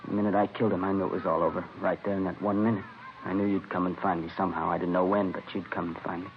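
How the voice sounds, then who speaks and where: An actor playing a resigned, brooding murderer in a 1950s radio drama, musing quietly and fatalistically. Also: male voice, low-pitched, flat delivery, very fast. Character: resigned, brooding male murderer giving himself up. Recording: dull, noisy old radio recording.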